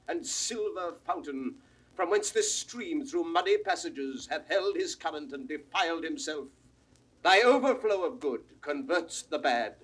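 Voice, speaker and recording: A proud king in classical verse, with a refined British RP accent. His voice is fairly high and husky, declaiming ornately, a little distant from the microphone on a vintage recording. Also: a bit bright. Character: proud, theatrical king, grand and changeable. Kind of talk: classical Shakespearean stage acting.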